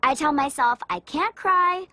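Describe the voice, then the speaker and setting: A woman's voice: high voice, measured pace, background noise. A woman playing a sweet, plucky young woman who hides her sadness, in a dubbed video game cutscene. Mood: playful. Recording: music behind the voice.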